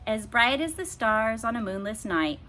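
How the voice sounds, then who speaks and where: Woman as an aloof, bright-voiced toy rabbit. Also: fairly high pitch, big pitch swings, moderate pace, a little hiss or hum. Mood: musing. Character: aloof, vain male toy rabbit, brooding inwardly.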